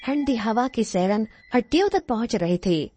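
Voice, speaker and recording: A woman's voice: a little high, expressive, normal speed, warm tone. A woman reading scripted narration for a horror cartoon story.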